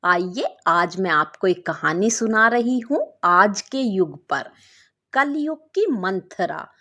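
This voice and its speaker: A woman's voice: mid-range voice, expressive, slow pace, pushed and bright. A woman narrating a story expressively, as the host of a storytelling channel.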